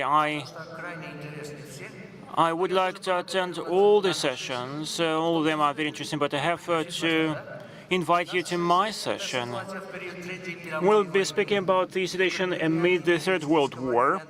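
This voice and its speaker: A man with a Greek accent addresses a forum in a large hall, his voice sitting a little higher than most men's. He speaks politely and in a measured way, pausing now and then to find his words. A clear hum of crowd noise runs beneath him. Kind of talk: formal conference address.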